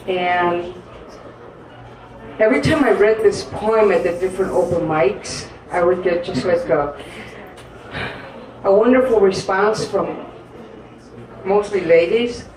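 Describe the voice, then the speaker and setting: A woman poet speaking on stage in an earnest, halting way, with many pauses and fillers. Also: fairly low pitch. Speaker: poet and guest. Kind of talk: personal storytelling in a live interview. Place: live stage event in an echoey room. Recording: echoey room from a distance.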